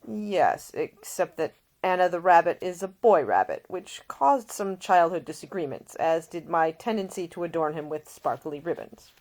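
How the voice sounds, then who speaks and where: A woman voicing a seasick gentleman in a storybook, weary, gentle and affectionate. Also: on the low side, lively intonation, normal speed, few pauses, lightly acted. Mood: wry, fond.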